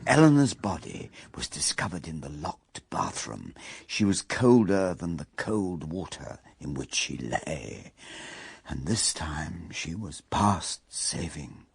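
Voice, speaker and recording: An actor playing a flustered English country-house guest who narrates in a 1920s murder mystery radio play, with faint noise behind. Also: male voice, a bass voice, big pitch swings, takes it slowly, creaky, husky, no echo, exaggerated voice. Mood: grave narrating.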